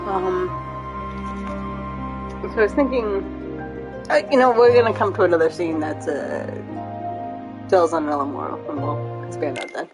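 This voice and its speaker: A woman's voice: fairly low pitch, moderate pace, long pauses, rough recording. A woman giving casual playthrough commentary as a game streamer, rambling and thinking aloud. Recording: loud game music behind voice. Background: game soundtrack.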